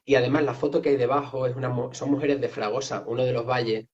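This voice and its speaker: A man from Extremadura, a writer and translator, explaining things in a reflective, somewhat hesitant way in a relaxed conversation. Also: medium pitch, fast, lo-fi sound. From Extremadura, Spain. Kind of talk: casual conversation.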